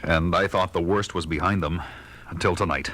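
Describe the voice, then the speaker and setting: Deep-voiced, grave superhero. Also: male voice, fairly even intonation, normal speed. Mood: grave, narrating. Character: stern heroic masked crime fighter, grown man. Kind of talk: 1970s superhero record drama acting. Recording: loud music bed under voice.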